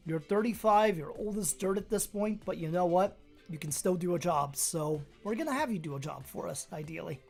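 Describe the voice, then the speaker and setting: A Brazilian-born woman whose first language is Portuguese, speaking with a mostly American accent that carries a slight Brazilian touch. She streams a game and chats with viewers in a relaxed, rambling way, thinking aloud. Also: lowish voice, brisk, creaky, soft background music. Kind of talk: casual chat with viewers while playing a game.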